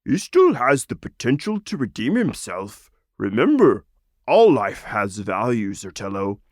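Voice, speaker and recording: A man's voice: medium pitch, wildly swooping pitch, a little slow, a little mellow, no room sound, studio quality. A man voicing a proud, noble lizard wizard in a storybook, speaking in a solemn, declamatory way. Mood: solemn, earnest.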